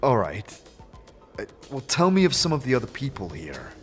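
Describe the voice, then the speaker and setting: A man's voice: mid-range voice, huge pitch swings, moderate pace, faint music behind, pitched above the performer's own voice. A man playing a firm, blunt adventurer questioning people in a fantasy audio drama. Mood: probing.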